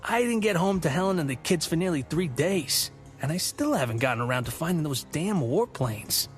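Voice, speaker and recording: An actor playing a world-weary, blunt police officer in an audio drama, with a folksy way of talking. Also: male voice, on the high side, lively intonation, moderate pace, soft background music, natural voice. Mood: exasperated.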